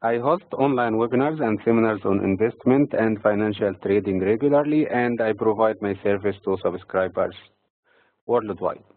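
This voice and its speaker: A man from Egypt with an Egyptian accent reads prepared financial market commentary in a measured, halting way, breaking phrases mid-sentence. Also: lowish voice, no echo. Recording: tinny, phone-like narrow-band audio.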